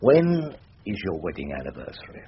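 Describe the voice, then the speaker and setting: A wily older British barrister in a radio drama, speaking low with very lively intonation. He is wry and courtly, fond of rhetorical flourishes. The recording is dull, with some hiss and noise. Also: moderate pace, full character voice. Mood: smoothly persuasive.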